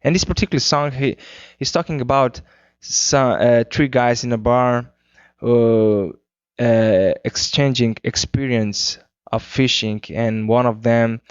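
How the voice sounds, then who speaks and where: A Cape Verdean man whose first language is Creole, answering interview questions in English with unhurried speech, searching for words. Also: medium pitch, fairly even intonation, clean tone, no room sound. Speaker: guest singer-songwriter. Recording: muffled 2009 radio interview.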